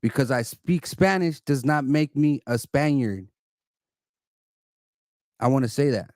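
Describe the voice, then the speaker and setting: A man's voice: mid-range voice, a little slow, a little mellow, dry recording, clean studio sound. A man from California arguing earnestly on a live stream from an apartment, repeating phrases and talking over the other man. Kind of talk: heated argument. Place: indoors, an apartment.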